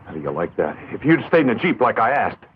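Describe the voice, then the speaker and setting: A narrow, tinny old film track holds an irritable traveller. His low, husky voice swings widely as he goes from curt to polite. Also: sing-song, fast, heavily acted. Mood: irritated, contrite. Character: irritable, impulsive man stranded on a trip, protective of car. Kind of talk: dubbed vintage horror film acting.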